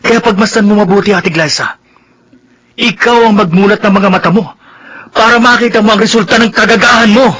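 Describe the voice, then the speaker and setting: An actor playing an upset, earnest young brother in a Filipino radio drama, with a Filipino accent. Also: male voice, sits high, brisk, fairly bright tone. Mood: accusing.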